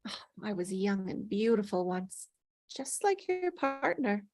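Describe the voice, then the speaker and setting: A woman's voice: medium pitch, sing-song, measured pace. A woman playing a teasing, melancholy older female ghost in an acted script read, with wry, sighing, dramatic delivery. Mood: wistful.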